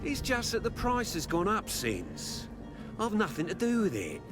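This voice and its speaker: An actor playing an evasive, stubborn merchant's apprentice in a video game, deferential and full of whiny excuses. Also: male voice, sits high, wildly swooping pitch, a little slow, heavily acted. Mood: defensive. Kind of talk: scripted video game dialogue. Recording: music behind the voice.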